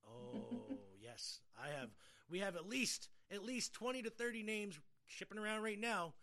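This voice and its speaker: A man's voice: high-pitched, lively intonation, a little slow, gravelly, pushed and bright. A middle-aged man hosting a podcast in casual chat, rambling and often repeating his words. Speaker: podcast host.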